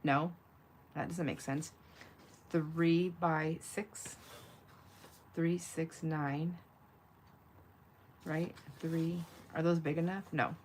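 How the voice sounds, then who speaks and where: Low-pitched woman, casual craft livestreamer, slightly nasal. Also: steady tone, extremely slow, pauses often, darkish tone, a little hiss or hum. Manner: rambling, thinking aloud. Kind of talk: casual live chat while crafting.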